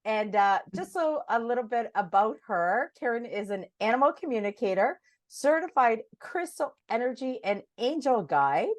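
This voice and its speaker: A woman's voice: fairly high pitch, expressive, moderate pace. A woman hosting a podcast in a chatty, effusive, enthusiastic manner. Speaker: podcast host.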